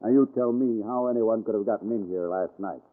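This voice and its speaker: An actor playing a confident, dismissive police inspector, assertive and matter-of-fact, in an old-time radio crime drama. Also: male voice, fairly low pitch, brisk, natural voice. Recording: muffled, narrow-band vintage radio recording.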